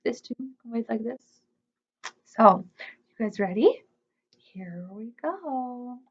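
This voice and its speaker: Woman, children's librarian, very lively pitch, slow pace, expressive read-aloud, muffled lo-fi sound. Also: husky. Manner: expressive, simple child-directed phrasing. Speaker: children's librarian, storytime host. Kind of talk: animated read-aloud storytime for preschoolers.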